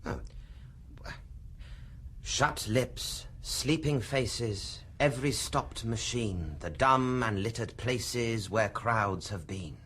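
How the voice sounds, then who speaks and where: A man's voice: medium pitch, slow, fairly bright tone, breathy edge. An actor playing a thoughtful, self-mocking young male lecturer and writer who hesitates as he talks, in a radio drama.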